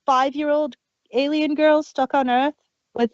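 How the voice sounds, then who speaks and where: A woman's voice: sits high, steady tone, measured pace, no room sound. A woman co-hosting a casual review podcast. She talks in a chatty way, with hesitations and frequent restarts. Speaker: podcast co-host. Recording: dull lo-fi dry home mic.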